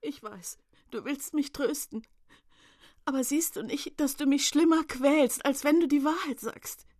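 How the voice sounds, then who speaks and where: A woman's voice: high voice, unhurried, darkish tone. An actress playing a grieving, desperate mother of a fallen soldier in a radio drama, with a trembling, tearful voice and pleading, accusing questions. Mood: insistent, pleading. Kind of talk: German radio drama acting.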